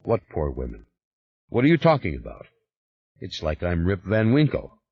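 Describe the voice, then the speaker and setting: A dazed man just roused from sleep, low and puzzled in his questions, as a narrator voices him. The recording is dry and slightly dull. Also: wildly swooping pitch, normal speed, husky, dry recording, exaggerated voice. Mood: bewildered. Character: bewildered male just woken, confused.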